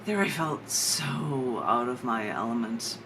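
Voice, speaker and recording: A woman's voice: rumbling low, animated, slow pace. American woman reading poetry over noise. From United States. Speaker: poet. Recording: clear background noise.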